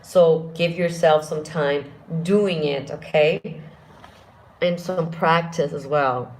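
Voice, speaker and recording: A woman vocal coach with a deep speaking voice, answering viewer questions and demonstrating exercises in a live singing lesson. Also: slow pace, poor audio.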